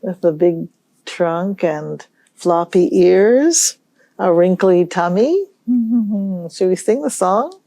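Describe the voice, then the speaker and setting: A woman hosting a storytime for young children, reading aloud in a gentle, musing way and pausing to pose questions. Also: on the low side, expressive, no room sound. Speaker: children's library storytime host.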